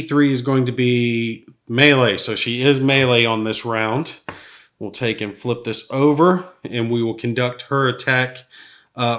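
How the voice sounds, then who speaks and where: A man with a slightly raspy voice from a cold, thinking aloud as he plays a solo board game. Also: medium pitch, fairly bright tone. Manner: thinks aloud while rolling dice, chuckles at bad rolls.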